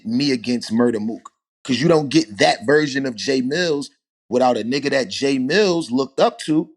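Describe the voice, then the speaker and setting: Animated New rapper in his forties. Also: on the high side. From Harlem, New York. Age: 40s. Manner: animated storytelling, rhetorical asides. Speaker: rapper guest. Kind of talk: casual podcast conversation.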